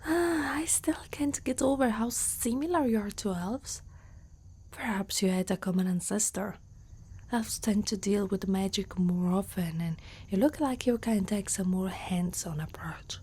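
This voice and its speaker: Breathy, whispering centaur warrior played by a woman. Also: medium pitch, measured pace, a little mellow. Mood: gentle, curious. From Italy. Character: older female centaur warrior, protective, haunted by loss. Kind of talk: soft whispered ASMR roleplay.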